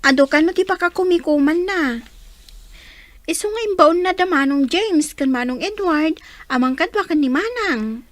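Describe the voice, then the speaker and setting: Napino ti timekna nga agtutubo a balasang nga iyak-akting ti babai. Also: female voice, extremely high pitch, expressive, normal speed, no echo. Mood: informative. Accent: Ilocano. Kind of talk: Ilocano radio comedy-drama acting.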